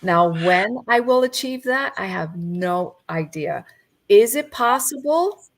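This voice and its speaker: A woman in her fifties, a coach, giving a gentle, reflective motivational talk over a video call. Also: medium pitch, animated, slow pace. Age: 50s.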